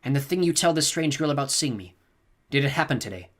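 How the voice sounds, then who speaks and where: A man's voice: mid-range voice, expressive, fast, a bit bright, voice pushed up. A man voicing a stern, commanding old woman who scolds sharply in an audiobook reading. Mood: probing. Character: stern imperious old woman, a reverend mother.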